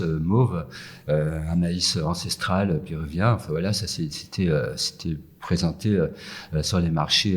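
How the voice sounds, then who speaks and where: A man from France with a very low, husky voice talks slowly through interview answers. He hesitates constantly, fills pauses with "euh" and restarts his phrases. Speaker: guest, food entrepreneur. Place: empty yoga room with echo.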